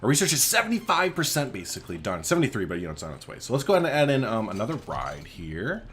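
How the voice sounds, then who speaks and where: A man's voice: medium pitch, huge pitch swings, very fast, a bit bright, a little hiss or hum. A man giving casual, chatty gameplay commentary as a let's play host, thinking aloud informally as he goes.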